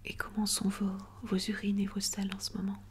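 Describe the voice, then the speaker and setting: A woman speaks in a soft, breathy whisper, playing a gentle, reassuring doctor in an ASMR roleplay with hesitant pauses. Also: medium pitch, completely flat delivery, a little hiss or hum. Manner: gentle, reassuring, attentive bedside manner.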